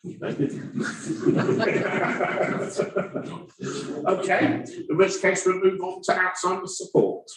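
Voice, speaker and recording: A man's voice: high voice, monotone, extremely slow. A man chairing a town meeting, stumbling and repeating words when he speaks off the cuff. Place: council meeting room. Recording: roomy hall, voice from far off.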